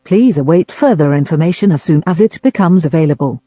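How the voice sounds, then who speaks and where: Male announcer, measured and official, scripted emergency bulletin. Fairly high but dark tone, very dry recording. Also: animated, no room sound. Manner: formal, measured. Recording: dull lo-fi audio.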